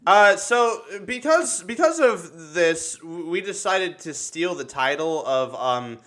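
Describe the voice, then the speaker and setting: A young man hosting casual livestream banter, excitable and peppered with profanity. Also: sits high, slow pace. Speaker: podcast host.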